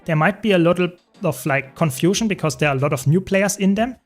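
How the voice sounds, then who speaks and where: A young man from Germany, speaking English with a German accent, answers chat questions as he plays. His voice sits slightly high and stays relaxed and talkative, and soft game music plays quietly behind him. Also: fast. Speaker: streamer. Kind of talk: casual live-stream chat.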